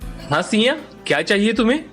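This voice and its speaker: An actor voicing a caring, agreeable young husband in an animated moral story, polite and expressive. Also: mid-range voice, moderate pace, faint music behind. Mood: admiring.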